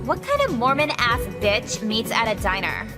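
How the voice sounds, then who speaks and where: A woman playing a crass, venomous high school girl in a video game, blunt and snarky. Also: very animated, moderate pace, over music. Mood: scornful. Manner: blunt, foul-mouthed, snarky. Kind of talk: visual novel game voice acting.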